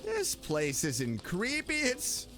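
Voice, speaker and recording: Casting: male game streamer, casual and expressive, unhurried delivery with lively pitch swings, talks to characters. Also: soft background music. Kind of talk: casual live gameplay commentary.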